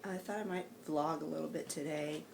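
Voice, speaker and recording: A woman vlogging casually at home with a raspy, hoarse voice from allergies, chatty and rambling with plenty of ums. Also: low voice, steady tone, normal speed, roomy sound, distant mic, noisy background. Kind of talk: casual rambling vlog chat. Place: home and outdoor animal pens. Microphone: handheld camera, self-filmed.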